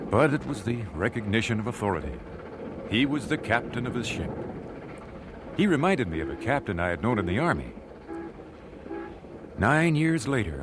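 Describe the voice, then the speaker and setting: Reserved american gentleman narrating his memories, radio drama, very expressive, noisy old recording. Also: lowish voice. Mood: nostalgic. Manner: measured, reflective, well-spoken. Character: thoughtful thirty-year-old singer recalling his life, genteel and reserved.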